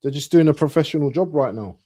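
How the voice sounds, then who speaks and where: A man's voice: fairly high pitch, animated, fast talker, dark tone. A man hosting a live football watchalong with casual, informal commentary and chat, often repeating his phrases.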